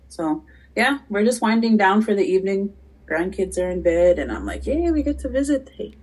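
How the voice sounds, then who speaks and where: A woman's voice: mid-range voice, big pitch swings, measured pace, pauses often, a little mellow, slightly rough, rough recording. A middle-aged woman from Canada, a podcast guest, chatting in a laid-back, joking way. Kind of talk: casual conversation.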